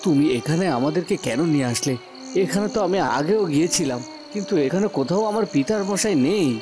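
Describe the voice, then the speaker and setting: A man's voice: fairly high pitch, expressive, quick pace, over music, natural voice. A man voicing an earnest, puzzled young prince in a melodramatic cartoon. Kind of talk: melodramatic Bengali cartoon voice acting.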